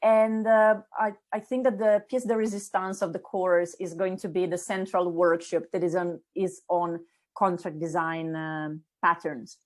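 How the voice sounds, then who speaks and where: A woman's voice: fairly low pitch, level tone, a little slow. A middle-aged woman from Italy with an Italian accent, introducing her course to lawyers in a chatty, enthusiastic way with many fillers. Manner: lots of fillers and restarts, enthusiastic. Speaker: course instructor. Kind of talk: casual spoken course introduction.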